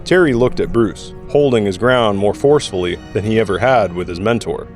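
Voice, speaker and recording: A man's voice: fairly low pitch, fairly quick, a little mellow. A man reading scripted narration for an audio drama. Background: background music.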